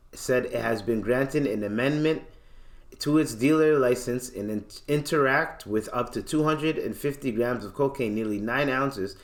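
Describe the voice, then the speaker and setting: Echoey male podcast host, playful and slangy. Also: mid-range voice. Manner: playful, slangy, theatrical when reading aloud, repeats words. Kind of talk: casual podcast commentary with dramatic reading of news. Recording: echoey, roomy sound.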